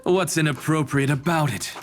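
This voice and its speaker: An actor playing a self-assured, irreverent professor in a video game, musing in a pompous, theatrical manner. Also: male voice, a little high, moderate pace, soft background music, close to an everyday voice. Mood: incredulous, boastful. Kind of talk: video game character acting.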